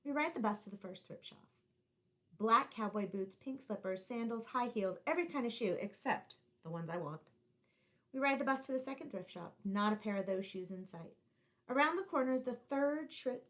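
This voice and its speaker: A woman reading a picture book aloud to children in an expressive, deliberately phrased storytelling style, like a teacher. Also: mid-range voice.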